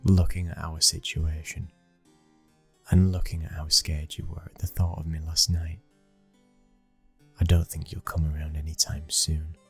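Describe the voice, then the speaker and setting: A British man playing a brooding, dominant young mafia boss's son in an intimate ASMR roleplay, speaking with slow, commanding control. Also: very deep voice, completely flat delivery, slightly rough, soft background music, exaggerated voice. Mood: guarded, frank.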